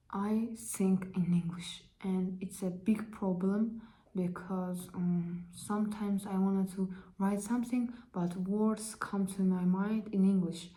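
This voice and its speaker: A young woman from Kazakhstan with a Kazakh accent, introducing herself in halting, careful learner's English full of fillers. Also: medium pitch, mellow. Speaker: vlogger. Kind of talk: casual self-introduction.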